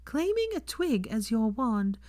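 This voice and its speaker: A woman's voice: a little high, big pitch swings, unhurried, warm tone, dry recording, clean studio sound, pitched above the performer's own voice. A woman voicing a headstrong, playfully insistent young woman in audiobook story dialogue. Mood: teasing. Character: bright young woman, teasing, headstrong, emotional lover. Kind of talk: fan fiction audiobook dialogue.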